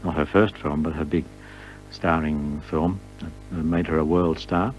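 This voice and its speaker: A man's voice: a bass voice, fairly even intonation, unhurried, poor audio. A man hosting a music show, commenting casually on old records with rambling, conversational asides. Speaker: radio music show host.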